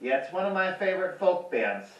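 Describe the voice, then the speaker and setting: A man in his thirties rehearsing folk songs on acoustic guitar at home, giving slow, halting introductions between songs. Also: sits high, sing-song. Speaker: folk musician. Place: small bedroom, indoors. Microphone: far camera. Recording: roomy, distant, dull camera audio.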